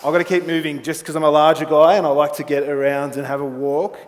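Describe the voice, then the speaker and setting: A man's voice: a little high, level tone, fast. A man with an Australian accent giving an informal conference talk in a chatty, self-deprecating manner. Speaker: corporate communications manager and conference speaker. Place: conference hall, on stage. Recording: echoey large hall sound.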